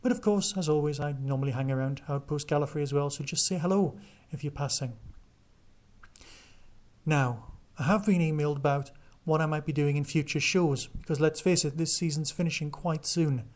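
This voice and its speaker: Chatty male podcast host, slightly high voice. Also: normal speed, a little mellow. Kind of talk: casual solo podcast review and listener chat.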